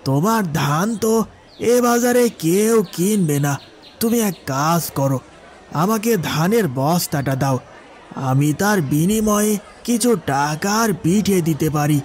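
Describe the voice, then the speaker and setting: A man's voice: high-pitched, darkish tone. A man voicing a shrewd older village sweet-cake seller in a cartoon, paternal and bargaining like a practised street hawker. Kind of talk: cartoon story dialogue.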